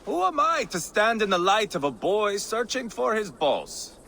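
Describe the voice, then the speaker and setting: An actor playing a fierce, mocking, bloodthirsty Viking warlord in a video game, with a sharp, taunting delivery. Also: male voice, high-pitched, sing-song, a little slow, gravelly, exaggerated voice. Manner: sneering, taunting. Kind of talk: dramatic video game acting.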